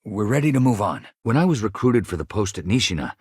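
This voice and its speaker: A man's voice: on the low side, very animated, fast, darkish tone, husky, no room sound, clean studio sound. A man voicing an anxious, weary but grateful engineer stranded in space, earnest and hesitant, in a video game. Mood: calm, grateful. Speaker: companion character in a space game.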